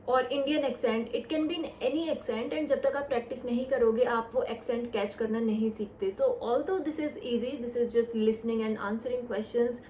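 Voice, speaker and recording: Young woman, Indian English accent, patient tutorial tone, slowing on key phrases, echoey room. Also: a little high, a little mellow. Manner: explains step by step, slows down on English phrases. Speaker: test-prep YouTuber. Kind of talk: casual tutorial, mixing Hindi and English. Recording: echoey room with faint noise.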